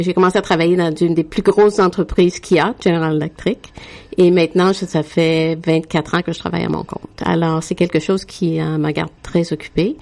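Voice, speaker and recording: A woman's voice: lowish voice, darkish tone. A woman in her sixties from Quebec, telling her life story as an interview guest with many hesitations. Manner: frequent hesitations with "euh", unhurried.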